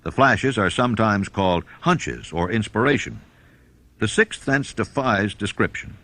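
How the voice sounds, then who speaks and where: A man reading a self-help audiobook as narrator, in a measured, instructional manner. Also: on the low side, expressive, bright, forceful tone.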